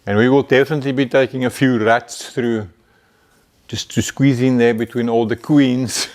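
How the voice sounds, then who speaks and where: A middle-aged South African man with an Afrikaans accent talks through his car-building vlog in a relaxed, chatty way. He chuckles often and stumbles over a word now and then. His voice sits at an ordinary middle pitch. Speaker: car builder hosting a community show. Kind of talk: casual vlog chat.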